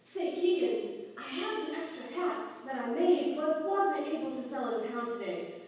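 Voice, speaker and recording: A woman voicing a kindly, gently chuckling old hat seller man in a live puppet show, with quaintly formal, polite speech. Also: sits high, expressive, a little slow. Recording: echoey hall, far from the mic.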